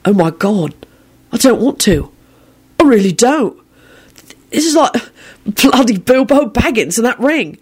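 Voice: mid-range voice, very animated, unhurried, pauses often, slightly rough, pitched above the performer's own voice. A narrator voicing a stubborn, awkward young man in a story, nervy and given to swearing. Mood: horrified.

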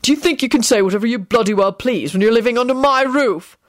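A woman's voice: fairly high pitch, quick pace, no room sound, studio quality, voice pushed up, lightly acted. A woman voicing a scowling, domineering, hot-tempered father who barks and spits out his words in a story reading. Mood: furious. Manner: barking, spitting words out.

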